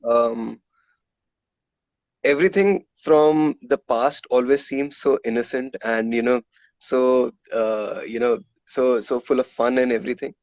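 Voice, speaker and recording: A man's voice: medium pitch, measured pace, darkish tone, no echo, rough recording. A man with an Indian accent answering interview questions as a musician, candid and conversational, often repeating words as he thinks. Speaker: musician guest, guitarist and composer in a metal band.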